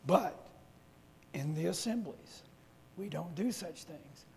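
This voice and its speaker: A man's voice: a little high, animated, takes it slowly, gravelly, darkish tone. A man preaching a conversational sermon to a church assembly, rambling through folksy personal stories with self-correcting asides. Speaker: lay preacher. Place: church meeting hall. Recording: reverberant hall with faint hum.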